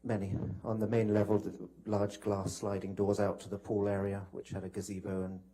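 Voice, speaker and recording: Low, husky man giving testimony. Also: monotone, a little fast, dark tone. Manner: polite, hesitant, broken phrasing. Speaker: witness testifying in court. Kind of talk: courtroom testimony, recounting from memory.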